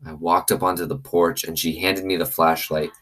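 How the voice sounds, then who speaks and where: A man's voice: low-pitched, flat delivery, moderate pace, fairly bright tone. A man reading a children's novel aloud like a teacher, with commentary along the way. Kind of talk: expressive storybook reading with commentary.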